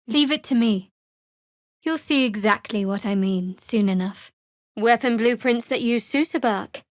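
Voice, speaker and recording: An actress playing a guarded, wry young female cleric in a fantasy video game, speaking in a cool, dry, measured way. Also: fairly high pitch, dry recording, close to an everyday voice. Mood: wry, composed. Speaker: video game companion character. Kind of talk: scripted fantasy game voice acting.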